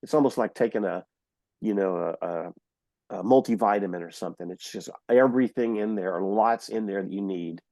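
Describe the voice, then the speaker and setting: A man's voice: on the low side, brisk, dark tone, no echo. A man co-hosting a casual podcast, joking and self-deprecating, sounding nasal from a cold. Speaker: podcast co-host.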